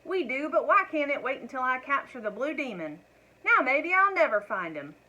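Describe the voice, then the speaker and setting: A woman voicing a hot-tempered male farmer who yells and grumbles gruffly. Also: mid-range voice, moderate pace, rough recording. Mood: frustrated. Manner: yelling, gruff.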